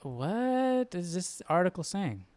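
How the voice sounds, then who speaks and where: A man's voice: high-pitched, very animated, slow, a little mellow, no echo. A man hosting a live study stream in casual, informal chat, thinking things through aloud.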